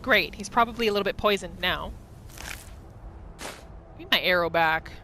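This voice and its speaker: A woman's voice: lowish voice, normal speed, very noisy. A woman hosting a gaming video, narrating her roleplay playthrough in a casual, chatty storytelling way. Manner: chatty, informal, storytelling in third person.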